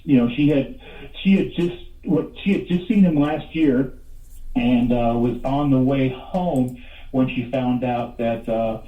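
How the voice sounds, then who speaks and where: A man in his fifties telling stories as an interview guest in a halting, rambling way, repeating words as he goes. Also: medium pitch. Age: 50s. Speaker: radio show guest, paranormal investigator. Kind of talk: casual interview storytelling. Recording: tinny phone line, echoey, distant.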